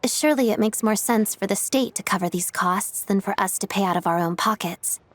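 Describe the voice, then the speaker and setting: An actress voicing a poised, principled young dancer and officer in a game dub, composed, polite and businesslike. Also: female voice, mid-range voice, fairly quick, lightly acted. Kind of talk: English game dub dialogue.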